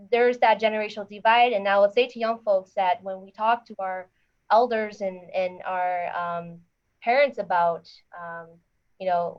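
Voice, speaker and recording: A woman city council member from Minnesota, speaking in a measured way with many fillers on a panel. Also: mid-range voice, dull audio. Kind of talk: panel discussion.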